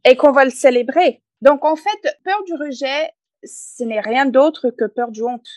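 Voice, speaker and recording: A woman's voice: sits high, measured pace, a little mellow, dry recording. A woman from English-speaking Canada with an English Canadian accent, speaking as a guest author in a podcast interview, direct and pragmatic with hesitant fillers.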